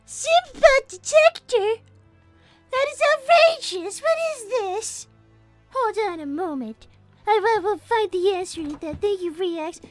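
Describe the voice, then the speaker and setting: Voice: very high voice, expressive, measured pace, faint music behind. A woman voicing a prim, polite little girl rabbit who speaks in a proper, formal way and loves gossip. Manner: very proper, formal and dainty speech.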